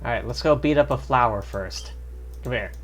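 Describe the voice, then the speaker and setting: A man's voice: medium pitch, moderate pace, soft background music. A man streaming a video game with casual, off-the-cuff commentary. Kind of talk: casual livestream commentary and reading game dialogue aloud.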